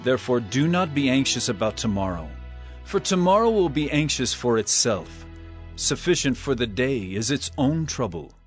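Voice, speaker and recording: A man playing an earnest, solemn male teacher with authority in a dramatized scripture reading, speaking in a measured, emphatic way. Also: mid-range voice, very animated, lightly acted. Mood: earnest teaching. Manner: measured, emphatic, rhetorical questioning. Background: music.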